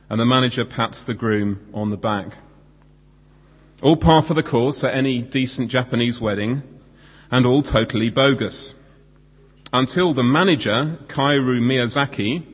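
A croaky man in his forties preaches in a conversational, self-deprecating way. His voice sits at an ordinary middle pitch. Long reverb from the church hall trails each phrase. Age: 40s. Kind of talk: sermon.

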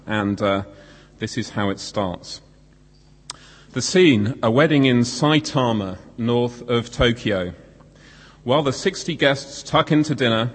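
Man, 40s, croaky voice, sermon, middle pitch, long reverb. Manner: self-deprecating, conversational. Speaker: preacher. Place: church hall.

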